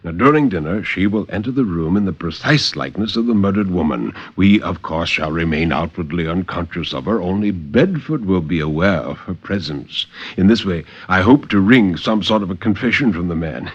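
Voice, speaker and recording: An actor voicing a suave, calculating English gentleman with a British accent and genteel, deliberate, old-fashioned courtesy in a radio horror play. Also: sits low, lively intonation, slightly rough. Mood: scheming, confident. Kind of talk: 1950s radio horror storytelling. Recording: dull, muffled vintage radio recording.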